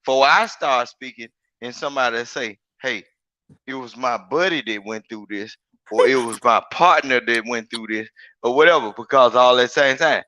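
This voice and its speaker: A man's voice: mid-range voice, normal speed, bright, forceful tone, dry recording, poor audio. A man with a big voice, talking as a guest in a casual live-stream chat, rambling and emphatic, often repeating himself.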